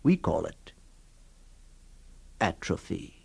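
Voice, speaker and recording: Male drama announcer: formal scripted introduction, unhurried pace, lower register. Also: very animated, creaky, breathy edge.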